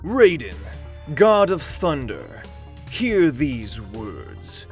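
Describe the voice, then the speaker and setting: A man's voice: mid-range voice, huge pitch swings, extremely slow, faint music behind, exaggerated voice. A man voicing a scheming ancient sorcerer emperor in a comic dub, formal, theatrical and silkily menacing. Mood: imperious challenge. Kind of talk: amateur comic dub voice acting.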